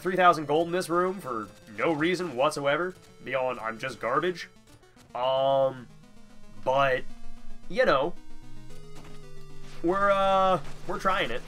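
A man's voice: on the high side, fairly bright tone. A young man with a stuffy nose giving casual, rambling, self-deprecating gameplay commentary. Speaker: gamer, let's player.

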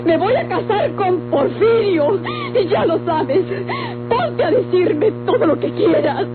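Old tinny radionovela, high voiced mexican daughter, melodramatic, pleading but defiant. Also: female voice, lively intonation, slow pace, heavily acted. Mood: exasperated, anguished. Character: anguished, defiant daughter of a rural Mexican family. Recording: tinny, humming old radio recording with music.

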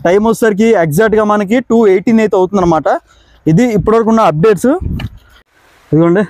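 A young man, chatting casually and excitably to camera as a travel vlogger, in slangy, repetitive phrases. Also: sits high, no echo, rough recording. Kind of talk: casual vlog chat to camera. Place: outdoors on forest trail and by waterfalls. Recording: outdoor handheld recording with background noise.

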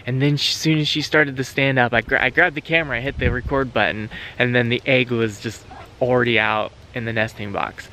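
A man's voice: mid-range voice, steady tone, fairly quick, sharp tone. A man in his thirties talks earnestly and thoughtfully to a camera held at arm's length. He is out in an open pasture, and faint outdoor noise runs behind his voice. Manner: earnest, reflective, talking while walking outdoors. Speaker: homesteader vlogger. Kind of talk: casual vlog to camera.

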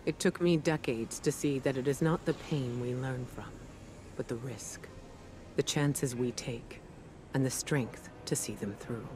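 An actress playing a wise, resolute middle-aged female leader in a game cutscene, measured, earnest and dignified. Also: extremely low pitch, noisy background. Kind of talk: scripted video game cutscene dialogue.

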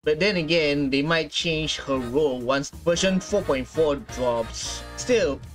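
A man's voice: on the high side, takes it slowly. A man, perhaps in his thirties, giving casual, exclamatory reaction commentary as a gaming video host. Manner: excitable, exclamatory. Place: small indoor room. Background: game trailer music.

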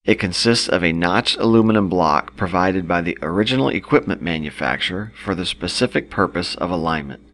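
A man reading a scripted instructional product narration in a measured, explanatory manner. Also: sits low, animated, a bit bright.

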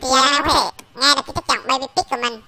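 A man voicing a small baby pig through a pitch-shifting voice changer, giving an informal software tutorial. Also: rapid-fire, noisy background. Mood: instructive. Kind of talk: informal screen-recorded tutorial.

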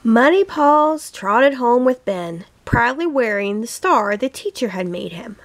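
Woman, storybook read-aloud for small kids; slightly high voice, unhurried, deliberate delivery. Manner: slow, deliberate, expressive phrasing for young children. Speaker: teacher reading a children's picture book aloud.

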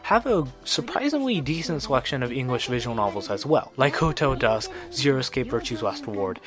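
Young adult male, medium pitch, scripted review voice-over over a prominent music bed. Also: sing-song, fairly quick. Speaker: video guide narrator. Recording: music behind the voice. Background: background music.

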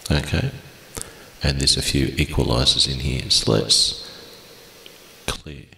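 A middle-aged man teaching music software step by step in a steady, explaining way with small fillers, from a small room at his desk. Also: very deep voice, slow pace, gravelly, a little hiss or hum, rough recording. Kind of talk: screen-recorded software tutorial.

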